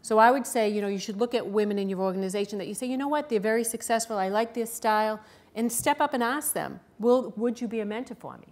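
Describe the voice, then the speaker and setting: A woman's voice: fairly high pitch, fast talker, darkish tone. A middle-aged woman with a thick Boston accent speaks on a panel. She talks plainly and candidly. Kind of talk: panel talk.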